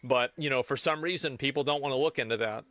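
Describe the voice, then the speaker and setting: A man's voice: mid-range voice, fast talker, fairly bright tone, no room sound. A man streaming, commenting casually on a video with hesitant restarts. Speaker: live streamer.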